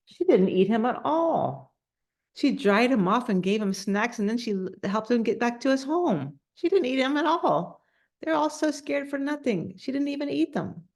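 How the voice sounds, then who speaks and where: A woman's voice: fairly high pitch, animated, normal speed, a little mellow. A woman reading a children's storybook aloud, adding casual, simple explanations and questions for young listeners. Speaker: storybook reader.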